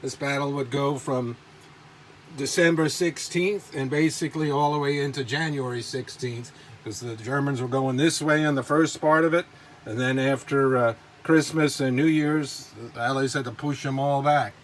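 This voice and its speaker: A man with a mid-range voice talks casually through items in a collection. He sounds informal and enthusiastic, rambling along without a script. There is clear noise behind his voice. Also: mid-range voice, steady tone, normal speed, rough recording. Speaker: collector presenting models and memorabilia. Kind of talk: casual unscripted show-and-tell narration.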